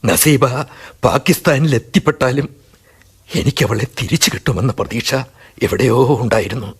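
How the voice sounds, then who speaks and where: A man's voice: mid-range voice, expressive, moderate pace, slightly rough. A man playing a desperate husband in a radio drama, who pleads and sometimes rages. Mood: narrating sorrowfully. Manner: emotional, pleading, sometimes outraged. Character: desperate husband, middle-aged schoolmaster. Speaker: husband and narrator.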